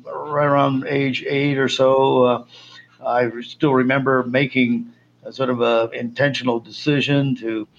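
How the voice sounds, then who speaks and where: A man from Colombia, a missionary guest, answering interview questions in a halting way with many fillers. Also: mid-range voice, flat delivery, highs cut off.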